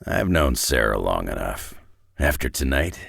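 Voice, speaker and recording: An actor playing a gruff, cocky, battle-hardened brawler in a video game, with a raspy growl and a dry, sardonic delivery. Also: male voice, extremely low pitch, sing-song, a little slow, fairly bright tone, no room sound, heavily acted.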